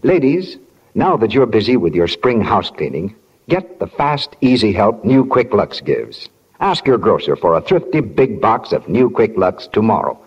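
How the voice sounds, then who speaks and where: A man's voice: lowish voice, big pitch swings, normal speed, slightly rough. A man announcing advertisements in 1940s radio style with a polished sales delivery. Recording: muffled 1940s radio recording.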